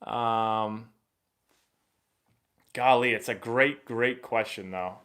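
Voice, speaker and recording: A young man hosting a live baseball stream, chatting casually and rambling excitably as he answers viewer questions. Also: medium pitch, extremely slow. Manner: rambling and excitable, many fillers and restarts.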